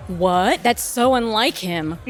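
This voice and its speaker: A woman's voice: medium pitch, lively intonation, slow pace, faint music behind. An actress playing a sarcastic, impatient, foul-mouthed woman attorney in an audio theatre comedy. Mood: scornful. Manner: sardonic, biting, profane. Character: sharp-tongued, sarcastic, impatient female attorney out drinking.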